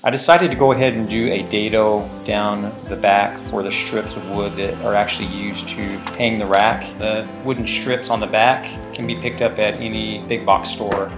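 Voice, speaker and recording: A man's voice: on the low side, moderate pace, hardly any pauses, music in the background. A man in his thirties, host of a woodworking channel, explaining a build casually with plenty of fillers, recorded on a lapel mic in his workshop. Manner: relaxed, plain-spoken, many fillers. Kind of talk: casual how-to narration. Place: woodworking shop indoors.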